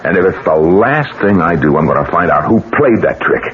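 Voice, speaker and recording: Determined American widower, 1970s radio drama: emphatic, swooping delivery, low gravelly register, noisy broadcast tape. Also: male voice, big pitch swings, normal speed, narrow, tinny audio. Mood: defiant, forceful. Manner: emphatic, dramatic, pressing with rhetorical questions. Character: determined, combative self-made businessman, a grieving widower.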